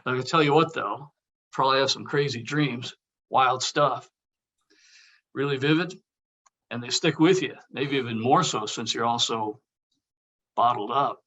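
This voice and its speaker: A man acts out a teasing, caring older actor in a casual script read. His voice is bright and forceful, at a middling male pitch, and he speaks bluntly, like an old friend. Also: unhurried, long pauses. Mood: concerned. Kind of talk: casual table read of a comedy-drama script.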